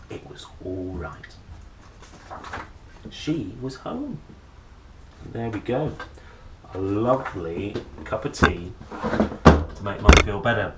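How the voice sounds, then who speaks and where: A man hosting a children's read-aloud, telling a picture book in a playful, expressive, dramatic way with chatty asides. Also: fairly low pitch. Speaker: host reading picture books aloud. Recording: roomy echo, faint background noise.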